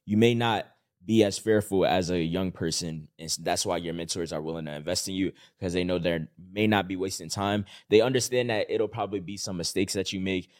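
Low-voiced man giving earnest advice. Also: steady tone, brisk, few pauses, no echo, studio quality. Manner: earnest, encouraging, filler-heavy with "like". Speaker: podcast co-host. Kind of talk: casual podcast chat.

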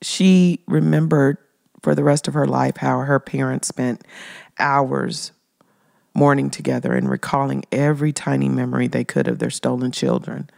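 A man with a very gravelly, mid-pitched voice comments as a podcast co-host. The talk is conversational and the sound is dry and close. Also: lively intonation, moderate pace, a little mellow, no room sound.

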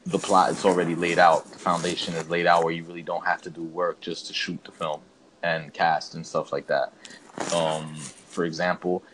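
A man's voice: low voice, little pitch movement, moderate pace. A man co-hosting a podcast in casual, slangy chat, feeling his way through sentences, with background noise. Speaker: podcast co-host.

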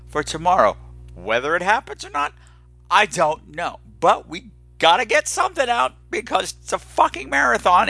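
A man's voice: high-pitched, bright, forceful tone, no room sound. A man hosting a podcast solo in a casual, chatty, campy and playfully dramatic way, rambling and self-deprecating. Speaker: podcast host.

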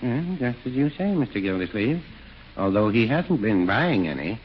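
An American actor playing a mild, hesitant, gently contrary small-town druggist with a droll, understated manner in 1940s radio comedy. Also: male voice, medium pitch, big pitch swings, moderate pace, close to an everyday voice. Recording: muffled, noisy 1940s radio recording.

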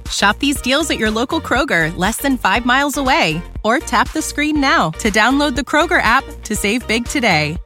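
A woman's voice: a little high, animated, moderate pace, few pauses, bright, forceful tone, faint music behind. A woman announcer delivering an upbeat, scripted retail commercial.

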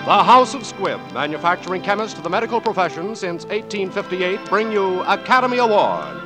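A man's voice: high-pitched, very animated, brisk, rough recording. An American man as a radio announcer, reading a formal, declamatory script in 1940s style. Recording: old 1940s radio recording. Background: music.

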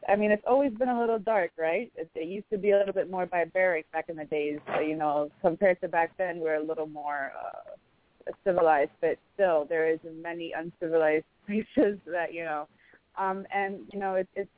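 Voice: mid-range voice, fairly even intonation, normal speed, slight background noise. An interview host reading an intro and asking questions in a hesitant, filler-filled way. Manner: hesitant, many fillers and restarts. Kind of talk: casual interview. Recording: tinny dry phone line.

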